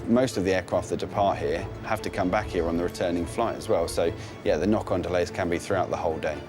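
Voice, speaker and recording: A man's voice: sits low, fairly even intonation, fast talker, music in the background. A man working in airport operations, giving a plain, explanatory interview answer.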